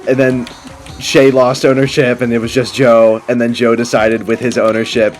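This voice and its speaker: Young man, slightly low voice, casual gaming commentary, rambling fan talk, music in the background. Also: flat delivery, moderate pace. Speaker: gaming video host. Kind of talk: casual chatty game commentary with friends.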